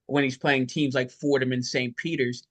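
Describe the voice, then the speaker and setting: A man's voice: mid-range voice, level tone, moderate pace, a bit bright. A man co-hosting a sports podcast, giving conversational basketball analysis in an emphatic, explanatory way.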